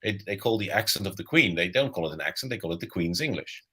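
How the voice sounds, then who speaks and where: A man's voice: deep voice, animated, fast talker, bright, forceful tone, breathy edge. A middle-aged Dutch man with a Dutch accent, speaking reflectively as a guest on a live video-call interview, often repeating words as he thinks. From Netherlands. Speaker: guest author. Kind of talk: casual interview talk.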